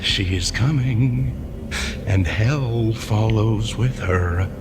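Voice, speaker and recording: An actor playing a deranged gangster who believes he is a world-ending wolf demon, ranting wildly from low mutters to shouts. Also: very slow. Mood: frenzied. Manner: wild rant swinging from whispers to shouts. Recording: roomy hall reverb with music.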